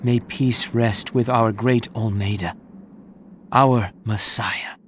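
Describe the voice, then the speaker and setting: A man acts out a fervent, reverent male disciple in an animated game. His mid-pitched voice moves slowly and haltingly with solemn devotion. There is noticeable noise in the recording. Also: natural voice. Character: devout, fervent male disciple of a dead messiah. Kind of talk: dramatic animated video game cutscene acting.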